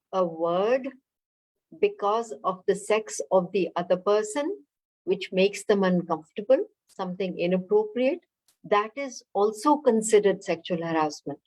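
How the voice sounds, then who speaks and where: A woman on a webinar video call, talking in an explanatory, measured way at a middle pitch. She pauses on "uh" and restarts her phrases as she explains. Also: a little mellow, poor audio. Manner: measured, explanatory, with frequent "uh" and "you know". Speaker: webinar instructor on workplace harassment.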